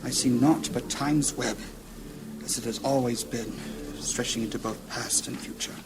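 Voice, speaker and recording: A man's voice: medium pitch, steady tone, moderate pace, background noise, close to an everyday voice. A man playing a grave, troubled mage leader in a fantasy audio drama, speaking in a formal, solemn, theatrical way. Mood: resolute. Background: music.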